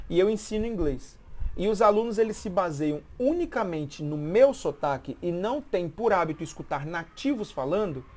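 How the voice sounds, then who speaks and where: A woman's voice: mid-range voice, darkish tone. A woman talking casually on a live stream and explaining a point like a language teacher, repeating herself and asking if the listener follows.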